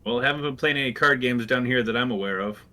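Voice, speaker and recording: A man playing a squad captain in a tabletop game, speaking in a brisk, clipped, matter-of-fact way. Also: mid-range voice, pushed and bright, natural voice.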